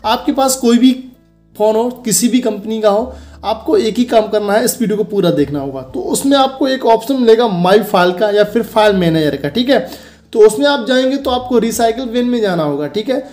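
A man's voice: sits high, lively intonation, fairly quick, darkish tone, soft background music. A young man from India hosting a phone tutorial, explaining each step casually and directly to viewers, often adding short check-in tags. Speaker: host of a phone tutorial channel.

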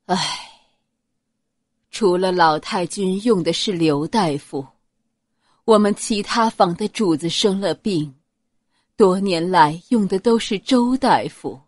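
A woman's voice: on the low side, slow pace, pauses often, no echo, studio quality. A woman voicing an anxious, deferential female household servant in an audiobook, speaking urgently and respectfully. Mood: troubled explaining. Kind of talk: audiobook character acting.

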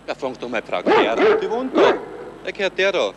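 An actor playing a cheeky, defiant dog walker with a Bavarian accent, brash and quick to retort, in a 1970s radio crime comedy. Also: male voice, high voice, wildly swooping pitch, creaky, highs cut off, full character voice. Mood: indignant. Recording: 1977 radio play, noisy outdoor ambience.

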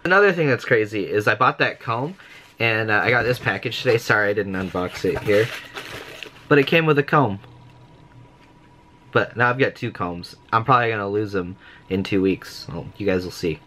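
A young man chats straight into a close-up camera in a small bedroom, rambling and poking fun at himself. His voice sits a bit low but has a bright, forward edge. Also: moderate pace, slight background noise. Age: young adult. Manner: chatty, rambling, self-mocking. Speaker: vlogger. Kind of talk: casual daily vlog chat. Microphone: camera close to face.

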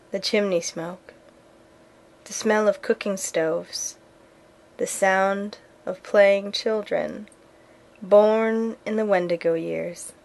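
An actress playing a weary, devout, resolute woman soldier of 1880s America, giving a solemn, reflective monologue in an audio drama. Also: medium pitch.

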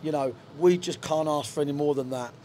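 A man's voice: on the high side, a little fast, mellow, soft background music. A middle-aged man, a football manager, talking frankly in a post-match interview in a stadium dugout, with lots of fillers and restarts. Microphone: handheld reporter mic.

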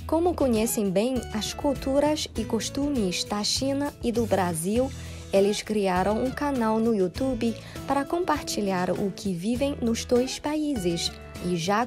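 A woman giving scripted narration for a news feature in a formal, even manner. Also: on the high side.

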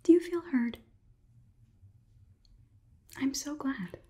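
A young woman in her twenties playing a politely condescending female robot overlord in a slow, measured, breathy ASMR whisper, close to the microphone. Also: sits high, warm tone, pitched above the performer's own voice. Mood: soothing, clinical. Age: 20s. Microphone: close sensitive microphone.

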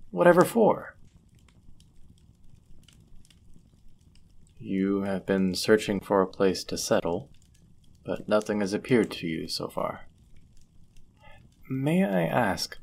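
Low, husky, courteous medieval innkeeper played by a man. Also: full character voice. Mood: concerned. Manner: formal, measured, old-fashioned diction. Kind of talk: roleplay audio drama acting.

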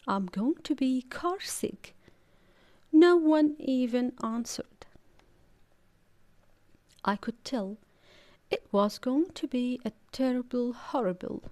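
A woman narrating a children's storybook aloud in an expressive, deliberate, emphatic storytelling manner. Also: fairly high pitch. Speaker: audiobook narrator.

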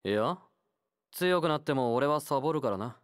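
A man's voice: medium pitch, animated, moderate pace, smooth, natural voice. A male actor playing a laid-back young convict fighter in a game, blunt, teasing and nonchalant, with a drawl. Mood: bored, dismissive. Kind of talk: Japanese game character voice lines.

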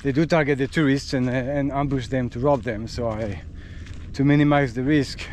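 A man's voice: mid-range voice, steady tone, fairly quick, darkish tone, a little hiss or hum. French-accented man vlogging outdoors. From France. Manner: chatty, hesitant with many fillers. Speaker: cycling travel vlogger. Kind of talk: casual self-filmed travel vlog. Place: outdoors on a roadside in dry bush. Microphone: camera held at arm's length.

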